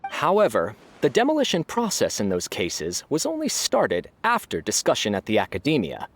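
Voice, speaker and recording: A man voicing an impartial arbiter who rules on a debate in a measured, formal way. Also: medium pitch, wildly swooping pitch, no room sound. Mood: neutral. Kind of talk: game voice acting.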